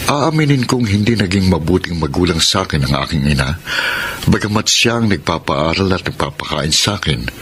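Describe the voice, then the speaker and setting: Radio drama lead: sincere young lover, lowish voice, confessional delivery, slight background noise. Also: male voice, a bit bright. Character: earnest lovestruck young Korean-Filipino man, telling his life story. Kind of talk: radio drama acting with letter narration.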